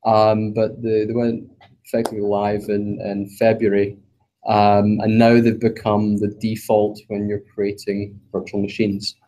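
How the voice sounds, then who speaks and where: A man's voice: fairly low pitch, monotone, a little slow, warm tone, low-quality recording. A man with a Scottish accent giving a technical meetup talk, hesitant, with many fillers and some self-deprecating humor. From Scotland. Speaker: presenter. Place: meetup room, also streamed online.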